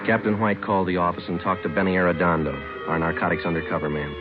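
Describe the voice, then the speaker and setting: An American actor playing a stoic police detective sergeant, matter-of-fact and terse, in the clipped style of old radio drama. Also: male voice, deep voice, little pitch movement, quick pace, natural voice. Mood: deadpan. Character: stoic, businesslike male police detective sergeant who narrates. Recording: tinny old radio broadcast, music behind.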